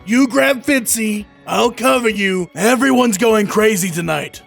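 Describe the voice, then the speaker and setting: A gruff, harried detective played by a man talks in a high-pitched, creaky voice at an unhurried pace. It is comic book audio drama acting, with faint music behind. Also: fairly bright tone, full character voice. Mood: urgent. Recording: music under the voice.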